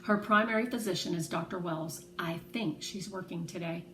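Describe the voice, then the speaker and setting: A woman's voice: on the low side, moderate pace, fairly bright tone, natural voice. A woman voicing a curt, skeptical hospital nurse in a children's storybook, clipped and wary. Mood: softened, hesitant. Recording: roomy echo, faint background noise.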